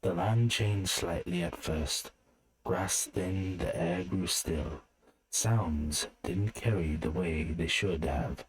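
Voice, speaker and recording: Male narrator for a fantasy audio story, very low register, unhurried delivery. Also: poor audio.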